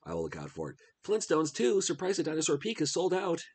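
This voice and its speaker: A man's voice: a little high, huge pitch swings, quick pace. A middle-aged man with a congested cold voice, chatting casually as he browses a retro game store online. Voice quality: husky. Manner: chatty, musing aloud while browsing. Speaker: retro game channel host. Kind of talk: casual screen-share commentary.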